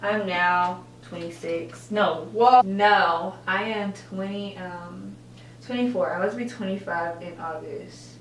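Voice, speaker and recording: A woman's voice: lowish voice, slow. Rambling young woman in a roomy echo. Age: 20s. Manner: rambling, filler-heavy, self-correcting. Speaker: college student vlogger. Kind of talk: casual get-ready-with-me chat. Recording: roomy echo, distant mic, faint hum.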